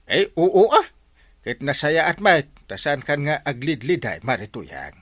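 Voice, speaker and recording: An actor with an Ilocano Filipino accent playing an excitable, exasperated village captain in a radio comedy, stammering as he goes. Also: fairly high pitch, normal speed, no room sound. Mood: flustered. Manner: stammering, sputtering interjections. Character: excitable, exasperated village captain, comic middle-aged man. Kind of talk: comedy radio drama acting.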